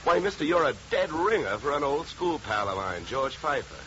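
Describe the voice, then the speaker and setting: A man's voice: fairly high pitch, sing-song, moderate pace, fairly bright tone, slight background noise. An American man playing a sardonic, wisecracking insurance investigator in a 1950s radio detective drama. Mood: hearty bluffing. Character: wry, hard-boiled male insurance investigator. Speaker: lead actor and narrator. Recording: muffled old radio recording, music behind.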